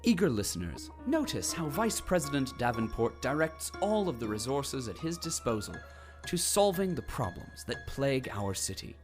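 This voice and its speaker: A woman's voice: extremely low pitch, sing-song, normal speed. A woman doing a sing-song propaganda announcer. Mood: preachy. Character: cheery propaganda announcer of a city regime. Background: music.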